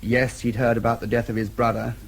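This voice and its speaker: Husky, dry British diplomat. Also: male voice, mid-range voice, level tone, fairly quick, low-quality recording. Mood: thoughtful. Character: dry, weary British diplomat, formal and wry. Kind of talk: British radio drama acting.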